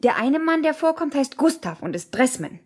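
An actress playing a flustered married lady in a German radio play, hesitant, halting and polite. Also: high voice, big pitch swings.

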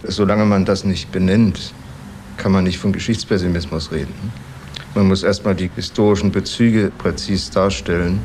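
A man's voice: low-pitched, fairly even intonation, normal speed, darkish tone. A man being interviewed, explaining his view in an insistent, spoken-off-the-cuff way. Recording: interview clip with faint background noise.

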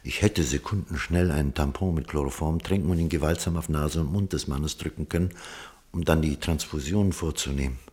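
An actor playing an authoritative doctor in a radio play, firm, measured and insistent in his delivery. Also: extremely low pitch, a little mellow. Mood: grave, recounting. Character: male doctor, urgent, authoritative, reasoning and imploring. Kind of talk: German radio play acting with first-person narration.

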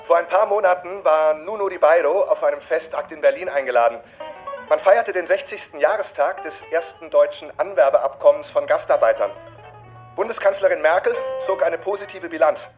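A male actor playing a speech-maker in a radio drama, giving a measured, rhetorical address with a pointed, ironic edge. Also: on the high side, faint music behind, lightly acted.

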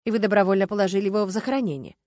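A woman's voice: medium pitch, rapid-fire, darkish tone, no room sound, close to an everyday voice. A woman voicing a male police investigator in an audiobook, weary, dry and authoritative. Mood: brisk. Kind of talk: audiobook character voice.

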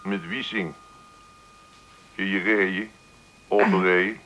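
An actor playing a shady, impatient small-time operator in a radio play, curt and evasive. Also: male voice, low voice, little pitch movement, takes it slowly, bright, forceful tone, dull audio, heavily acted. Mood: evasive, casual. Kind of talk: naturalistic Dutch radio play acting.

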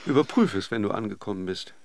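An actor playing a wry, attentive lover in a German radio drama, understated and a little hesitant. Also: male voice, on the low side, expressive, fast, gravelly, dry recording. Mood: caring, teasing. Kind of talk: German radio drama acting, with reflective narration.

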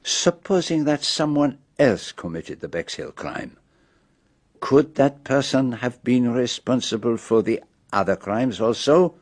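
Theatrical detective, French accent, mid pitch, measured, self-assured, radio play, dry recording. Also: male voice, lively intonation, no echo. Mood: measured, triumphant. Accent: French-speaking. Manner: measured, pompous, dramatic pauses. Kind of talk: radio drama monologue, deliberate reveal.

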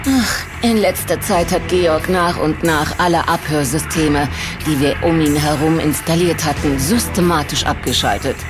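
An actress in a German audio drama playing a cool, commanding surveillance boss with a measured, authoritative manner. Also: female voice, deep voice, natural voice. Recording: music behind the voice.

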